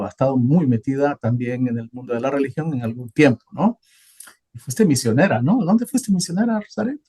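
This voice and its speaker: Honduran male co-host, husky voice, relaxed, loose conversational delivery. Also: medium pitch. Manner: relaxed, thinking aloud with many hesitations. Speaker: podcast co-host. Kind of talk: casual conversational talk about Bible passages.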